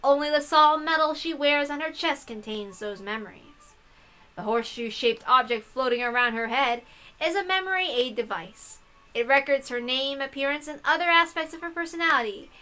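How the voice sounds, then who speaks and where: A woman's voice: high voice, normal speed, pushed and bright. A woman hosting a game playthrough, reading text aloud and adding playful, casual asides. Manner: playful, giggly, swearing asides.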